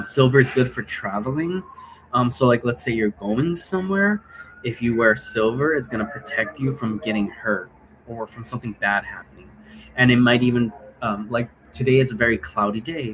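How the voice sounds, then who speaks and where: A man's voice: medium pitch, normal speed, a bit bright. A man giving a casual, unscripted explanation as a presenter, rambling loosely from one aside to the next. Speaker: presenter explaining an occult role-play game. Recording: clearly noisy room recording.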